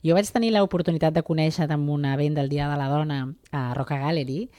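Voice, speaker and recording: A woman radio host, interviewing in a chatty way with halting restarts. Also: low-pitched, fairly even intonation, dark tone, dry recording, studio quality.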